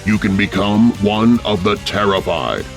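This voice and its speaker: A male podcast host delivers a scripted announcement. His voice is low and gravelly, with music playing behind him. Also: lively intonation, a little slow.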